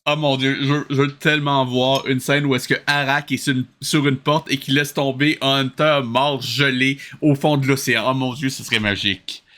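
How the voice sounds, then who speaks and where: Bright-voiced, exasperated Québécois man. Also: medium pitch, fairly even intonation, normal speed, hardly any pauses. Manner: incredulous, exasperated rhetorical questions. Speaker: host reviewing a cartoon. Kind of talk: comic reaction commentary.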